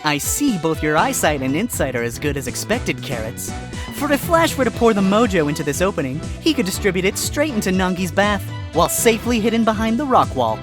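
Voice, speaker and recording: A woman's voice: medium pitch, sing-song, brisk, music in the background, natural voice. A woman voicing a sly, sarcastic male fox defense lawyer arguing in court.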